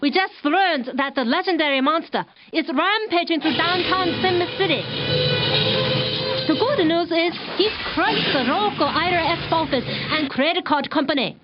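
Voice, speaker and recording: An earnest female TV reporter in a game's parody newscast. Her voice is strikingly high and urgent. The sound is dull and lo-fi, with clear music behind her. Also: normal speed. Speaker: news reporter. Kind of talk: parody TV news bulletin. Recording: dull lo-fi game audio over music.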